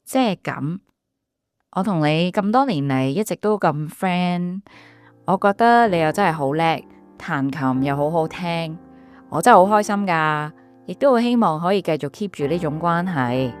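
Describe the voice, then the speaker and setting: Woman playing friendly young female pal, lowish voice, Hong Kong accent, calm polite casual delivery, English words mixed in, radio drama. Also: normal speed, faint music behind, natural voice. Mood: gently apologetic.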